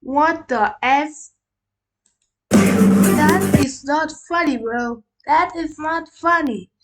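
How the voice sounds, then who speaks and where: A woman doing casual reaction commentary as a video host, halting and slangy in her delivery. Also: high voice, loud music behind the voice.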